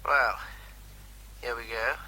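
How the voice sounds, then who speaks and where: A man's voice: mid-range voice, fairly even intonation, slow pace, sharp tone, heavily acted, low-quality recording. An actor playing a facetious, nervy army captain giving a running commentary as he works on a bomb, with faint noise behind, in a radio drama. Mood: jokey, nervy.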